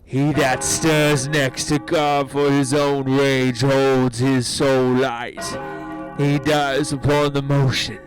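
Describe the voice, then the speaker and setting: A man's voice: medium pitch, darkish tone. A man playing a stern, outraged general giving commands in theatrical Shakespearean style.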